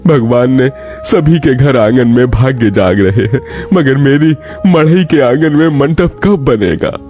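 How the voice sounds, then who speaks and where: A man's voice: medium pitch, expressive, measured pace, a little mellow, faint music behind, natural voice. A man playing a poor, worried older farmer father in a cartoon story, speaking in a plaintive, pleading way. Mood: sorrowful, anxious. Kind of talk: Hindi cartoon story acting.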